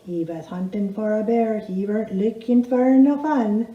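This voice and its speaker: A woman's voice: mid-range voice, moderate pace, mellow. A woman reciting a rhymed comic story in an emphatic, folksy storytelling manner. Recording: roomy echo, dull sound.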